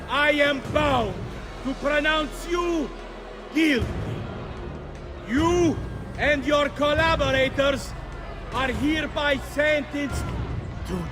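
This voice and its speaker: Casting: pompous corrupt judge for a game, very high projected voice, slow declamation, music behind. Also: male voice. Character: pompous, treacherous official pronouncing judgement.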